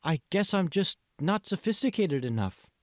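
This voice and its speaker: A man's voice: high voice, big pitch swings, moderate pace, no room sound, studio quality, pitched above the performer's own voice. A man voicing a naive, uneasy young woman in an audiobook, hesitant and full of questions. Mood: uneasy, curious. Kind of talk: audiobook dialogue.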